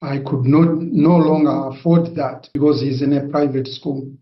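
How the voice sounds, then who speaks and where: A middle-aged man from Zimbabwe, giving a personal testimony, hesitant and full of pauses. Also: mid-range voice, flat delivery. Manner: hesitant, with frequent pauses and fillers. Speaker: testimony giver. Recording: dull, roomy sound.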